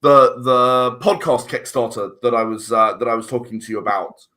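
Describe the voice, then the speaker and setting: Halting man with a bright voice. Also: mid-range voice, fairly even intonation. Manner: halting, rambling, repeats words as he searches for phrasing. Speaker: interview guest, filmmaker and audio drama writer. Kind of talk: casual podcast conversation.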